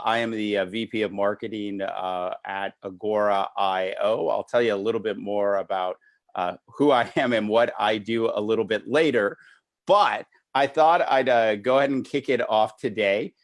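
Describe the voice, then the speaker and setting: Low-voiced man moderating, halting delivery. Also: dry recording. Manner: halting, filler-heavy. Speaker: podcast moderator. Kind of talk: unscripted podcast introduction.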